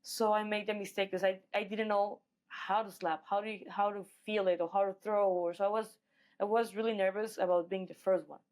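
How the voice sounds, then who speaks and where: A woman's voice: medium pitch, little pitch movement, a little fast, darkish tone. A woman from Mexico with a Mexican accent, talking casually as an athlete being interviewed. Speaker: guest, professional MMA fighter.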